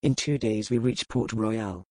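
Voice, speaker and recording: A woman voicing a stern male pirate captain who speaks in measured, formal sentences. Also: a bass voice, dark tone, dry recording, voice pushed down. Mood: grave. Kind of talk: audiobook character voice.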